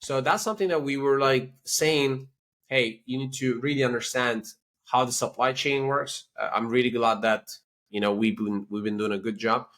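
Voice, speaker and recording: A man with a Turkish accent talks as the guest of a business interview, speaking at an ordinary middle pitch. He explains things in an animated, talkative way and keeps leaning on "you know" and "like" as he goes. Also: moderate pace. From Turkey. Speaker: company CEO, podcast guest.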